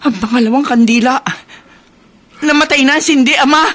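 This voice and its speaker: Young daughter, high voice, anxious, urgent, earnest, radio horror drama. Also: female voice, a little fast, fairly bright tone. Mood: worried.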